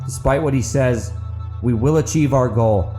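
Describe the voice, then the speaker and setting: A man's voice: mid-range voice, moderate pace, warm tone, lightly acted. Audiobook role: male narrator as resolute female outlaw leader, solemn, cold and commanding, over faint music. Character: cold, resolute woman leader of armed fugitives. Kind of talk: dramatic audiobook character acting.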